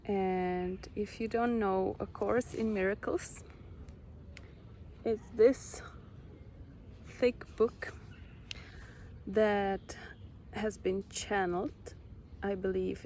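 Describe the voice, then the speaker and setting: A young Czech woman with a Czech accent, slowly sharing a personal spiritual story with many pauses. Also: medium pitch, vocal fry, darkish tone, a little hiss or hum. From Czech Republic. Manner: slow, reflective, pausing often. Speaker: vlogger.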